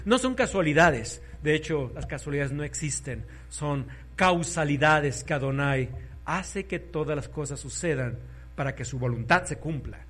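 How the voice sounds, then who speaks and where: A man preaching a sermon, fervent, swinging between soft and loud, urging listeners to repeat after him. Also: on the high side, animated, moderate pace.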